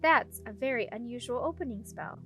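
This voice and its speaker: A calm, protective she-dragon, as a woman reader puts her on, speaking in a high female voice. She is matter-of-fact and instructive, reassuring as a guide, with faint music behind. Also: brisk, natural voice.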